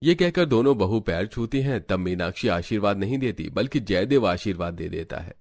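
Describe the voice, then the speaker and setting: A man's voice: lowish voice, animated, a little fast, darkish tone. A man reading scripted narration as the storyteller of a family tale.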